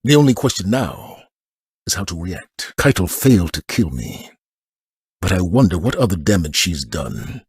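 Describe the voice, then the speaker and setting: An actor playing a grave, steadfast military commander in a video game, speaking in a solemn, measured, authoritative way. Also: male voice, low-pitched, big pitch swings, husky, no room sound, heavily acted. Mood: guarded, stern. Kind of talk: scripted video game voice acting.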